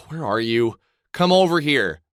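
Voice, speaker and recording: Casting: middle-aged game protagonist, obsessive and desperate, stammering, very lively intonation. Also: male voice, mid-range voice, takes it slowly, dry recording, clean studio sound. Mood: confused, alarmed. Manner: stammering, pleading. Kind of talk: dramatic video game voice acting.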